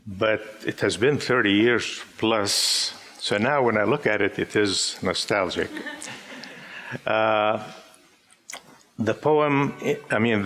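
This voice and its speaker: A man in his fifties from Syria, an Arabic speaker, reading his poems aloud to a live audience in a gentle, measured way. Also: on the low side. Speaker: poet. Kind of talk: poetry reading with spoken introductions. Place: live gathering before an audience.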